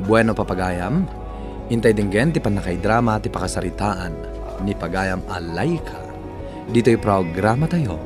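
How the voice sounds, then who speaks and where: Casting: male Filipino radio host, lowish voice, scripted letter reading, prominent music bed. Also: expressive, moderate pace.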